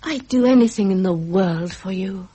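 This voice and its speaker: A woman's voice: medium pitch, moderate pace, dark tone, slightly rough, lightly acted. A woman playing a loyal, protective aristocratic confidante in a radio drama, fervent and refined. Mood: devoted sympathy. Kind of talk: 1970s British radio drama acting.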